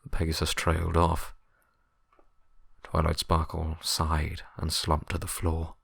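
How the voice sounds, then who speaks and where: Low-pitched man narrating a story. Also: completely flat delivery, slow, vocal fry, fairly bright tone, breathy edge, no room sound. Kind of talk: scripted dramatic story narration.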